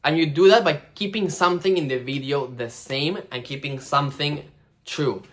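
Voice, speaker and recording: A young man giving casual, animated advice on starting a vlog channel, swearing freely and acting out the algorithm in silly voices. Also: a little high, moderate pace. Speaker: vlogger.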